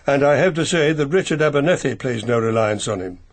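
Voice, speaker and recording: Man acting family solicitor, English accent, husky voice, middle pitch, polite, reserved, careful radio-drama delivery. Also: expressive, fairly quick, natural voice. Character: discreet, loyal, formal family solicitor.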